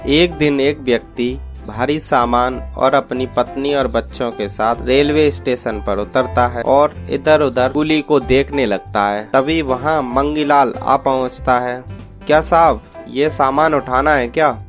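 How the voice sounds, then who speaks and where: A man's voice: mid-range voice, measured pace, few pauses, soft background music. A man narrating a scripted story as a storyteller.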